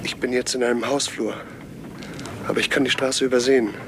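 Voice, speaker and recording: An actor playing an agitated, suspicious investigator in a 1970s German radio play, halting and repeating himself as he presses his questions. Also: mid-range voice, flat delivery, noisy background, natural voice. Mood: tense. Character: agitated, suspicious male investigator demanding the truth.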